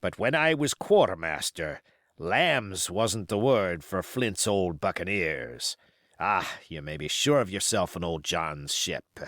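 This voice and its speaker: A man's voice: low voice, lively intonation, slow pace, fairly bright tone, no room sound, clean studio sound, exaggerated voice. A man voicing a sly, boastful, commanding pirate cook in an audiobook, with emphatic rustic seafaring talk. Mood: boastful, confiding. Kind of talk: audiobook character voice.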